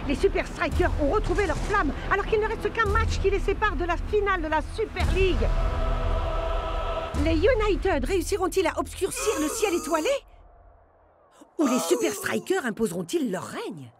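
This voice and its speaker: A woman's voice: extremely high pitch, big pitch swings, moderate pace. A woman playing an eager, dramatic TV sports reporter in a cartoon. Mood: dramatic announcing. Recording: music playing behind the voice.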